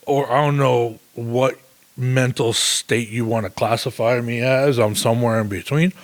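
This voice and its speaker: A man's voice: a little high, fairly bright tone. A man in his thirties hosting a casual solo podcast, rambling and swearing with lots of fillers and self-mocking asides. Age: 30s. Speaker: podcast host.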